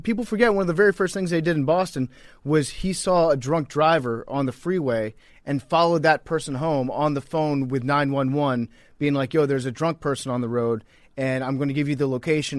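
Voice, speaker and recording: A man's voice: on the high side, a little fast, dry recording. A man co-hosting a sports podcast in casual, profane banter, telling stories informally. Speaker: podcast co-host.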